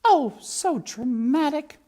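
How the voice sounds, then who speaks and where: Haughty mother mouse, storybook read-aloud, very high and shrill, fussy and theatrical. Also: expressive, slow, dark tone. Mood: dismissive. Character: dramatic female mother mouse with French airs.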